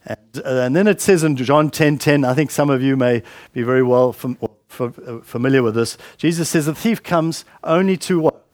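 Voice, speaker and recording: A man's voice: mid-range voice, normal speed, a little mellow, no echo. A man preaching a sermon at church, rambling and conversational with many fillers and asides. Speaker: pastor. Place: church hall. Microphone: handheld mic with a weak battery.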